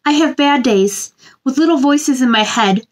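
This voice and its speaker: A woman in her thirties doing a children's read-aloud, with a gentle sing-song delivery and chatty asides addressed to young listeners. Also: high-pitched, normal speed. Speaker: children's storytime host reading a picture book aloud. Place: small indoor room.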